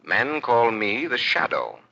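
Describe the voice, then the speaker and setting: A man playing an amateur sleuth and commanding invisible avenger in 1940s radio mystery style, with measured, authoritative delivery. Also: medium pitch, huge pitch swings, vocal fry, pushed and bright, breathy edge, heavily acted. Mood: ominous, commanding. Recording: muffled 1940s radio recording.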